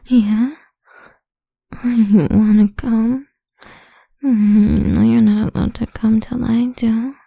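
Woman acting as bossy possessive lover in adult audio roleplay, really dark soft whispery voice, creaky, condescending. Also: on the high side, fairly even intonation, no echo, full character voice. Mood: commanding, scornful. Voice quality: breathy, whispery. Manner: slow, commanding, alternately cooing and scornful.

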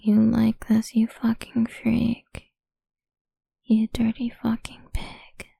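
A domineering, cruel woman taunts in a hushed, crude whisper, as a woman puts her on. Her voice is dark and full of gravelly fry. It moves slowly with little rise and fall, and it sits dry and close with no room around it. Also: a little high, monotone, no echo, heavily acted. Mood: menacing. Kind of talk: whispered audio roleplay.

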